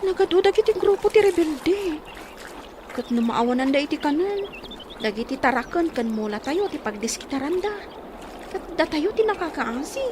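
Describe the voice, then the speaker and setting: A woman's voice: high voice, expressive, normal speed, background noise. A woman playing a fearful, anxious young village confidante in a radio drama.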